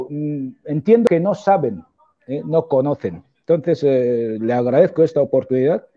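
A man in his forties from Equatorial Guinea, speaking earnestly as an interview guest over a video call, with many fillers and tag questions. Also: on the high side. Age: 40s. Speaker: guest, opposition politician. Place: indoor room at home. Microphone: earphone headset on video call. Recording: tinny video-call audio.

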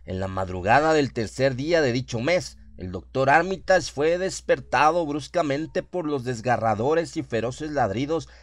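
A man narrates a horror radio play in a medium-pitched voice. The recording is dry, with very little room sound around him. His delivery is that of scripted, dramatic storytelling. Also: moderate pace, few pauses, no room sound.

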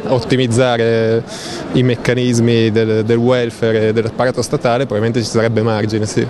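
A young man answering a street interviewer in a measured, thoughtful way with slight hesitations. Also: mid-range voice, flat delivery. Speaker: passerby. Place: city arcade at night, outdoors. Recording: street noise.

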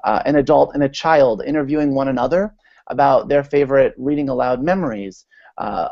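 A man's voice: mid-range voice, a little mellow, no echo. Man, thirties, American accent, enthusiastic guest speaker, informal presentation, dry dull call audio. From United States, Maryland. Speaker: guest speaker, literacy advocate. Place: small room at home, indoors. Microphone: video call with earphones.

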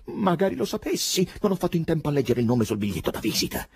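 A man voicing a frantic, angry male poet in an audiobook story, shouting in agitation and desperation. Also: fairly low pitch, lively intonation, moderate pace, breathy edge, voice pushed up, heavily acted. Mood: frantic, exasperated.

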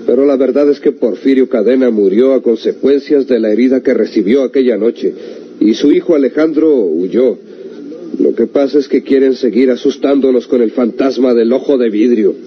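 A man's voice: mid-range voice, normal speed, close to an everyday voice. A Mexican man playing a stubborn, self-assured government lawyer in a radio serial, speaking formally and emphatically. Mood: dismissive, insistent. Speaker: actor in a radio drama. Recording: muffled old radio recording, faint noise.

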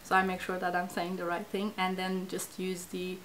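A woman in her thirties from Iran with a Persian accent, a native Farsi speaker, talking reflectively to camera in a small indoor studio and often pausing to search for words. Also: fairly low pitch, monotone, fairly bright tone, slight background noise. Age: 30s. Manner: hesitant, searching for words, many fillers. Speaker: interviewee, language project participant. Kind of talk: reflective interview talk to camera.

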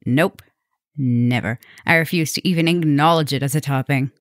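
A woman's voice: sits low, moderate pace, no room sound, clean studio sound, close to an everyday voice. A woman playing a flirty, teasing vampire professor in an affectionate, chatty ASMR roleplay spoken to the listener. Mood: playful, mock outraged.

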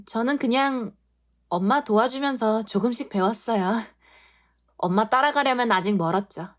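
여자가 연기하는 수줍은 꽃집 딸, 높은 목소리. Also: female voice, fairly high pitch, moderate pace, natural voice. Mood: shy. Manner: soft-spoken and shy. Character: shy young woman working at her mother's flower shop.

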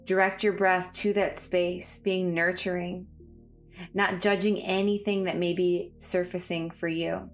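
A woman leading a guided body scan meditation in a calm, soothing, measured way, leaving pauses between phrases. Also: fairly low pitch. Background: background music.

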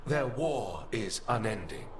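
An actor playing a haughty, grandiose archive spirit in a video game, speaking formally and with condescension. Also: male voice, lowish voice, big pitch swings, slow pace, slight background noise. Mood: scornful, grandiose. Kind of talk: video game character dialogue.

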